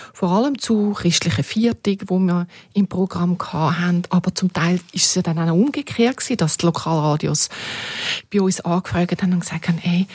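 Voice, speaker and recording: A woman's voice: fairly low pitch, darkish tone, slightly rough, no echo. A middle-aged or older woman speaking as an interview guest in a conversational, reflective way. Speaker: interview guest looking back on media work. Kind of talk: conversational reminiscence.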